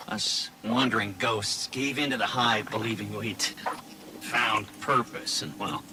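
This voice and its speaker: A jittery, stammering robot companion. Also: male voice, medium pitch, heavy background noise. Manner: stammering, rambling, hedging. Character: nervous chatty male robot companion, evasive. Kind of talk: video game dialogue. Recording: loud game music behind.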